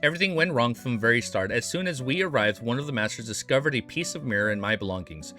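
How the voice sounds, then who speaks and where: A man voicing a young man who sounds wry and sombre in a low, measured way, read aloud from a novel. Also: animated, sharp tone, soft background music.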